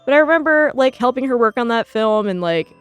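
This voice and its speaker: A woman's voice: fairly high pitch, expressive, a little fast, clean tone, no echo. A young American woman chatting casually and enthusiastically as she gives commentary on art. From New Jersey, United States. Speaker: artist and video host. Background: background music.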